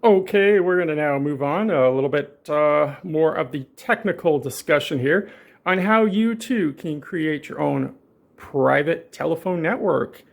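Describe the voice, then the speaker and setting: A man's voice: on the high side, animated, a little slow, vocal fry. A man presenting a casual hands-on tech tutorial in an informal, enthusiastic way.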